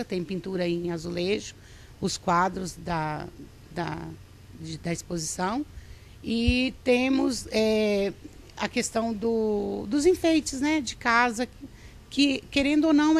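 A middle-aged woman who organizes a craft association, interviewed for TV news at an indoor craft fair on a handheld mic, talking in a rambling way with many restarts. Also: medium pitch. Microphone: handheld reporter mic.

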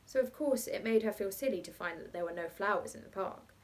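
A woman voicing an unconcerned bachelor who invents a children's story in a matter-of-fact, deliberate way. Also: medium pitch, lightly acted. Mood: storytelling.

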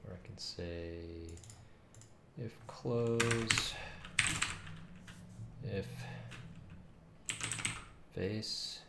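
A man's voice: fairly low pitch, very slow, gravelly, long pauses. A man in his thirties thinks aloud while coding, muttering in short fragments. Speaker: game developer streaming coding. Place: small room at home. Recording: roomy, noisy, keyboard clicks. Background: keyboard typing and mouse clicks.

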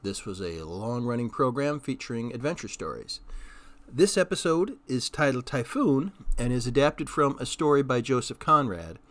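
A man's voice: medium pitch, animated, normal speed, fairly bright tone. A man hosting radio reruns, giving an informal spoken introduction. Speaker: host of old-time radio reruns.

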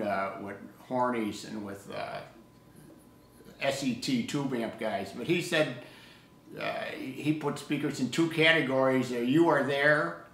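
An older man in casual hobby discussion as co-host, speaking in a halting, anecdotal way. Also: medium pitch, fairly bright tone, a little hiss or hum. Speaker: co-host of an audio hobby show. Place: living room table indoors. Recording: echoey room sound.

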